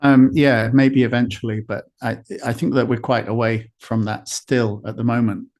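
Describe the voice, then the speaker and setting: A man's voice: mid-range voice, steady tone, moderate pace, a little mellow. A man hosting an interview podcast in a conversational style, feeling his way through loosely built, rambling sentences. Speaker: podcast host.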